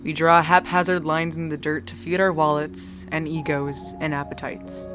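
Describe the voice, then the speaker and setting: A woman's voice: deep voice, level tone, moderate pace, music in the background, natural voice. A woman playing a foul-mouthed, sardonic, world-weary young bartender in an audio drama, with a wry and blunt manner. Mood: wry, musing. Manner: wry, blunt, heavy casual swearing. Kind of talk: cinematic audio drama acting.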